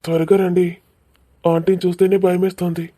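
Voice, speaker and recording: An earnest young man in love, acted by a man for a cartoon romance. His voice is a bit high, with a very warm, muted tone. He sounds nervous and sincere. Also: flat delivery, fairly quick. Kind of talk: cartoon love story dialogue.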